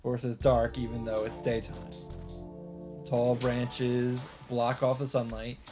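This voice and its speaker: A man's voice: medium pitch, monotone, a little slow. A man reading a visual novel's narration aloud as a let's player. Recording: music playing behind the voice. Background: game background music.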